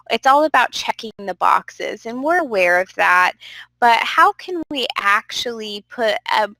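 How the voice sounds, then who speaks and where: A young woman leads an online class in a bright, clear voice, encouraging and direct with her students. She sounds a bit stuffy from a cold. The recording is close and dry, with no room echo. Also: medium pitch. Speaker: instructor. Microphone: computer microphone.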